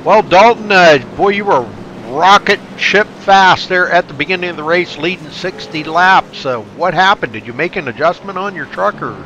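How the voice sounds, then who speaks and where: Male race caller, stuffed-up nose, higher-pitched, folksy and excitable, noisy broadcast feed. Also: normal speed, hardly any pauses, highs cut off. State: stuffed up from allergies. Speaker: race commentator. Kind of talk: live race play-by-play commentary.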